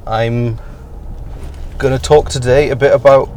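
A man with a croaky morning voice hosting a rambling solo podcast from his car on the way to work, with dry self-mocking asides. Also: mid-range voice, fairly even intonation, unhurried, a little mellow. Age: adult, past university. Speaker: podcast host. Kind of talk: casual solo podcast while driving. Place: inside a car on a commute. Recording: in-car recording with road noise. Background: car and road noise.

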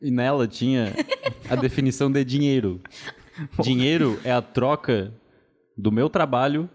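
A man's voice: medium pitch, unhurried, darkish tone, lightly acted. A man playing a pompous 1800s encyclopedia salesman. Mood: boastful. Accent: Brazilian. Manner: boastful, stammering fake-British salesman patter. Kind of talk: improv comedy game.

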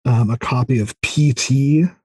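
A man with a slightly husky voice at a middle pitch, chatting casually as a guest. He thinks aloud, hesitating and circling back, yet sounds keen. The sound is dry and close. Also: moderate pace, darkish tone, no room sound. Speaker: podcast guest. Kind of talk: casual interview chat about video games.

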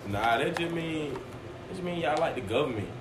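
A man's voice: a little high, expressive, moderate pace. A man, chatting casually and saying "man" often. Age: 20s. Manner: laid-back slangy talk, says "man" a lot. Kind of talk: casual chat. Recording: echoey room with noise.

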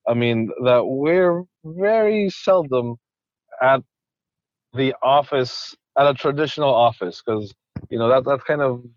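Man from Ecuador, guest, halting speech, full of restarts, dull dry recording. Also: medium pitch, dry recording. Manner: halting, many restarts and repeated words. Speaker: guest, ocean conservationist. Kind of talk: casual interview chat.

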